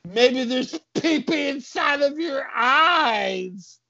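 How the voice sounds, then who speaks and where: Childish cop, high whiny pitch, very slow drawl, wailing, dim-witted, comedy skit. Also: expressive, gravelly, pushed and bright, dry recording, lo-fi sound, exaggerated voice. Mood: defensive. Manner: wailing, slow, drawn-out delivery.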